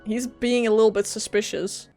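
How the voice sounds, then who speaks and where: A woman's voice: a little high, flat delivery, normal speed, darkish tone. A woman streaming a video game, reacting casually in short conversational asides. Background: game music.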